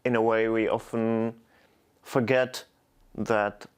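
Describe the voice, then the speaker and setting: A man's voice: lowish voice, level tone, takes it slowly, smooth. A man with a Czech accent, Czech being his native language, answering as a poet in an interview. He speaks thoughtfully and hesitantly, often stopping to restart words. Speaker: poet, guest.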